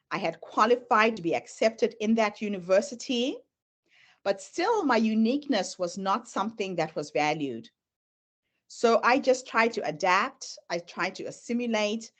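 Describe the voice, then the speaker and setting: A middle-aged woman whose first language is Shona, speaking with a Zimbabwean accent tinged with American, giving an earnest presentation and sharing her own story as she moves through slides. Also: medium pitch. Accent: Zimbabwean, mixed with American. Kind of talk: presentation talk.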